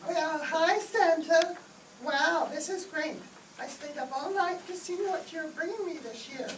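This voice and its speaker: A woman's voice: extremely high pitch, a little slow. A woman voicing an eager, wide-eyed little boy in a comic Christmas radio skit. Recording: echoey, distant stage recording, faint noise.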